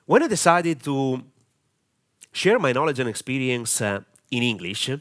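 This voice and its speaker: Italian man with a lively, halting voice. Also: medium pitch. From Italy. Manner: hesitant, many filler sounds, direct and earnest. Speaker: online marketing mentor. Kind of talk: camera-confidence training talk.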